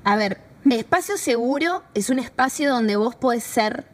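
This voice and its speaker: A woman's voice: on the high side, animated, a little slow. A woman from Argentina with an Argentine accent, chatting casually about founding a women's community, thinking aloud with fillers. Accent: Argentine Spanish. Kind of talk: casual podcast conversation.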